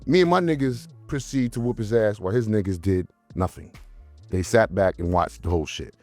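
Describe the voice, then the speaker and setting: A man's voice: on the low side, brisk, darkish tone, faint music behind. A man telling the story of a fight in a casual interview, heated and boastful, leaning on fillers.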